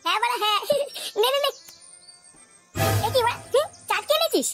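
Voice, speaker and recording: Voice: very high voice, animated, brisk, music in the background. A performer voicing a brash, foul-mouthed young male drinking buddy in a comic cartoon, speaking slangy dialogue. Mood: rowdy, indignant.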